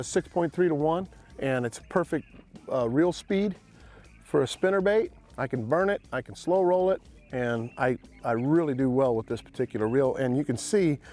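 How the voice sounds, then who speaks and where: A middle-aged man hosting a fishing show, explaining tackle in a folksy way from a bass boat on an open lake. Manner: folksy, excited when landing fish. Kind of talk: outdoor fishing instruction.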